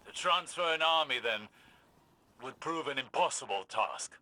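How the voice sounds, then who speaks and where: An actor playing a stern, commanding leader in a video game, speaking in a formal, declamatory way. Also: male voice, medium pitch, lively intonation, normal speed, sharp tone. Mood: grave. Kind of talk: scripted video game dialogue.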